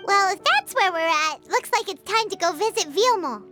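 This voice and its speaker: A tiny, childlike game sidekick with an extremely high, bright squeak. The little companion is cheeky and blunt, with a whiny edge. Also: female voice, expressive, moderate pace, soft background music, full character voice. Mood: eager. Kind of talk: video game voice acting.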